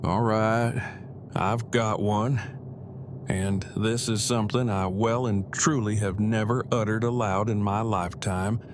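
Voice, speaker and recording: Male actor as bookish earnest husband, lowish pitch, formal verbose wording, audio drama, noticeably noisy recording. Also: fairly even intonation, unhurried, close to an everyday voice. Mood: hesitant, confiding. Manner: formal, wordy, precise phrasing.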